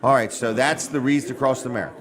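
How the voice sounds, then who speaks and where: A man hosting a casual radio interview, chatting in a folksy way with quick, short reactions. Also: mid-range voice, steady tone, a crowd in the background. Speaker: radio show host.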